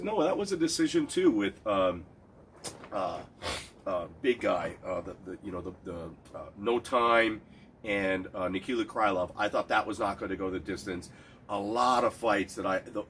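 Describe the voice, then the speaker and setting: A man's voice: lowish voice, fairly bright tone, slight background noise. Stuffy-nosed man rambling about fights. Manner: rambling, many restarts and fillers. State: stuffed-up nose. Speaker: cigar and MMA betting reviewer. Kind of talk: casual solo talk.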